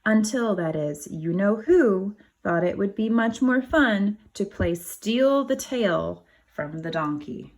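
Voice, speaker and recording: A woman reads a picture book to young children in the style of a kindergarten teacher. Her pace is unhurried and her voice has a noticeable creak. She steps out of the text to explain and to put questions to her listeners. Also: medium pitch, expressive, darkish tone. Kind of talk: animated storybook read-aloud with asides to children.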